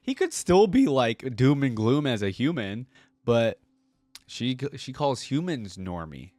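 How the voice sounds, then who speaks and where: A man's voice: medium pitch, big pitch swings, unhurried, a little mellow, no room sound. A man giving casual, chatty commentary as a reaction video host. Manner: chatty, easily amused.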